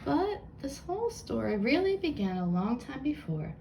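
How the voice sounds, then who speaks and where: A woman reading a children's book aloud with expressive narration. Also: high-pitched, normal speed, creaky, slight background noise.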